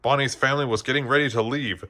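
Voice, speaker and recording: A man's voice: medium pitch, fairly even intonation, fast, pushed and bright. A man reading a children's story aloud as scripted narration, now and then stumbling over a word. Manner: occasionally stumbles over words and rereads. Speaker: storybook reader.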